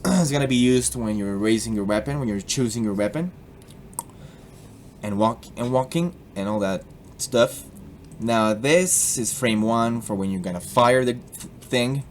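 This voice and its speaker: A man's voice: medium pitch, slight background noise. Playful Colombian man hosting a tutorial. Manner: playful and jokey, slipping into put-on accents. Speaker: tutorial host. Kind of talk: casual screen-recorded software tutorial.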